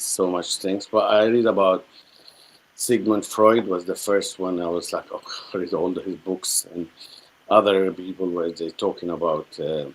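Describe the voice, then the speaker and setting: A middle-aged man with a Syrian accent and Arabic as his first language speaks low and very slowly. His voice is husky. He tells his story reflectively, halting and repeating phrases while he searches for the next word. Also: rough recording. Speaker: guest, spiritual healer.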